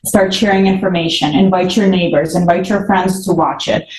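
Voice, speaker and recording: A woman's voice: lowish voice, fairly even intonation, moderate pace, a little mellow. Echoey room sound, woman panelist explaining stuff conversationally, off the cuff. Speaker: forum panelist.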